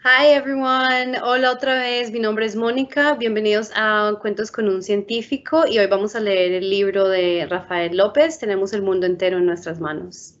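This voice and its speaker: Uma mulher de uns trinta anos, apresentadora de um programa infantil, lendo um livro ilustrado devagar e com calma numa chamada de vídeo. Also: female voice, mid-range voice, a little fast, hardly any pauses. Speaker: outreach storytime host. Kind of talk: bilingual children's book reading. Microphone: laptop webcam on video call. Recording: muffled video call audio.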